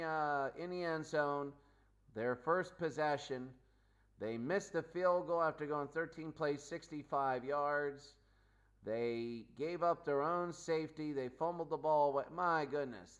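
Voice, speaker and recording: Guy doing a football recap show, kinda high voice, halting, repeats stuff for emphasis. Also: a bit bright. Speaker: sports show host. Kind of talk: unscripted post-game recap commentary.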